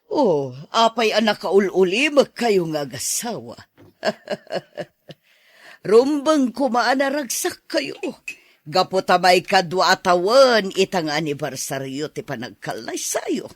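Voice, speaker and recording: A woman's voice: medium pitch, sing-song, slow pace, dry recording. A woman playing an anxious, placating middle-aged mother in an Ilocano radio drama, coaxing and worried. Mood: cheerful.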